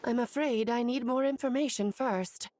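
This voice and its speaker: A woman voicing a confident adult female mage who speaks in an airy, poised and gently bossy way in video game dialogue. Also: fairly high pitch, normal speed, slight background noise, lightly acted. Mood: breezy.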